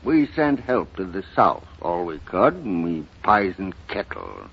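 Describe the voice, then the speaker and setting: An actor playing a sorrowful old rural American grandfather, telling his story haltingly in a folksy, dramatic 1930s radio style. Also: sits low, sing-song, gravelly, husky, heavily acted. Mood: wistful, sorrowful. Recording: muffled, noisy 1930s radio recording.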